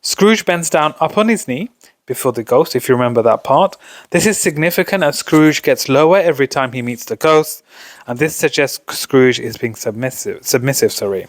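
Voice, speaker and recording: A male tutor walks students through revision material in an instructional way. His voice sits at a middle pitch, and the sound is dry with very little room on it. He tends to set up questions and then answer them himself. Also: lively intonation, moderate pace, no room sound. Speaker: tutor presenting exam revision. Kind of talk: explanatory revision lesson.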